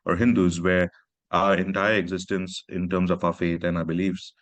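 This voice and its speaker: A man's voice: low-pitched, flat delivery, no room sound. A man from Pakistan, an author speaking earnestly in a reflective conversation. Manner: earnest, measured, personal storytelling.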